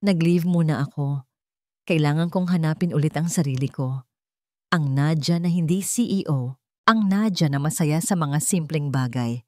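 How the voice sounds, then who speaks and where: A woman voicing a gentle, forgiving woman executive who speaks sincerely and tenderly in an audio romance drama. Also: deep voice, moderate pace, no room sound, clean studio sound, close to an everyday voice. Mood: reflective.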